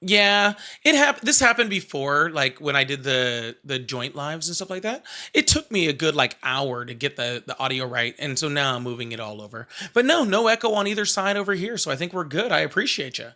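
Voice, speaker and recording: A man's voice: a little high, sing-song, fairly quick, hardly any pauses, pushed and bright. A man in his thirties hosting a live call-in stream, blunt and joking as he challenges callers. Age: 30s. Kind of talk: casual live call-in chat.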